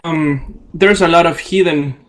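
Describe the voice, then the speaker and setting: A man's voice: fairly high pitch, fairly even intonation, a little slow. A man in his twenties with an Ecuadorian accent, a native Spanish speaker, talking casually as a radio guest. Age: 20s. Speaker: psychology professional guest. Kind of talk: casual radio discussion in non-native English.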